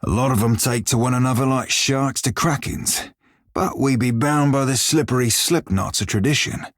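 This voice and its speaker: An actor playing a wry, crafty pirate sailor in a video game, speaking in salty, colloquial seafaring dialect with a husky, rough-edged voice. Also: male voice, mid-range voice, normal speed, no echo, clean studio sound, lightly acted. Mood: wry, matter-of-fact. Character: roguish male pirate sailor, wry, crafty, loyal crewmate. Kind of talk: scripted video game dialogue.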